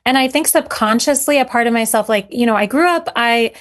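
A woman's voice: on the high side, brisk. Earnest woman author, raised in Syria. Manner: earnest, many fillers and restarts. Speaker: children's author guest. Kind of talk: casual interview talk.

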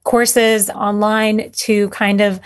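A woman's voice: mid-range voice, flat delivery, slow. A woman from Syria, an author guest in an interview, talking chattily with many fillers and restarts. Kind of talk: interview conversation.

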